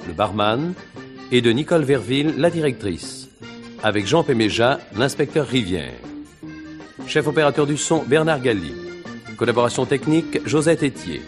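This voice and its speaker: A man announcing the credits of a radio drama in a formal, deliberate, scripted manner. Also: medium pitch. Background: music.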